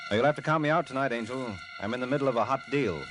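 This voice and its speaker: A man plays a smooth-talking, carefree sleuth in a vintage radio show. His mid-pitched voice is heard over clear background music. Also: rapid-fire, close to an everyday voice. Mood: breezy, flirtatious. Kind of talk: 1950s radio drama acting.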